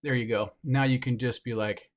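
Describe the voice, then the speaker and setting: A man of ordinary pitch reviews gear on camera, talking directly to his viewers. He sounds upbeat and enthusiastic. He breaks off now and then with quick asides while he handles what he's showing. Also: steady tone. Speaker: product reviewer. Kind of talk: hands-on product demo.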